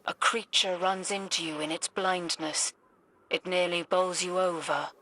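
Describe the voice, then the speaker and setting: A slow, low, enigmatic oracle. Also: female voice, steady tone, bright, forceful tone, slight background noise. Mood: grave, haunting. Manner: cryptic, portentous, measured. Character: enigmatic, solemn female oracle, cryptic emissary of unearthly powers. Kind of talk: video game cutscene voice acting.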